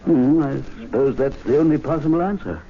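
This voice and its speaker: An actor playing a worried, doubtful, formal club gentleman in an old radio drama. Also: mid-range voice, fairly quick, close to an everyday voice. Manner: fretful, formal. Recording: tinny, faintly hissy radio recording.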